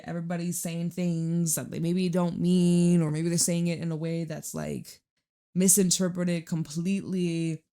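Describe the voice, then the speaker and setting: Raspy, hoarse woman reading tarot. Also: on the low side, dark tone. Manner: chatty, reassuring, swears casually. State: hoarse from screaming. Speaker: tarot reader. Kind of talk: casual tarot reading to viewers.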